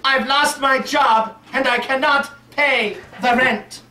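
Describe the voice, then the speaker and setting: A high, drawn-out voice for a melodramatic young puppet girl, acted out by a man. She is sassy and complains with exaggerated whining. The live show is picked up from a distance in a roomy space. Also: steady tone, pushed and bright, exaggerated voice. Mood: whiny, melodramatic.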